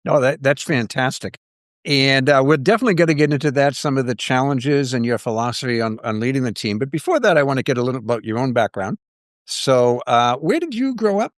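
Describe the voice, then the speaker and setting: A man's voice: medium pitch, expressive, fairly quick, no echo, studio quality. Man hosting a podcast interview. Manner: friendly, filler-heavy questions. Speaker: podcast host.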